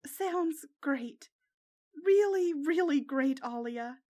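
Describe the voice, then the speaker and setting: A woman voicing an anxious, tender-hearted teenage girl with a soft, earnest, emotional way of speaking in a narrated story. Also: high voice, animated, takes it slowly. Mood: relieved.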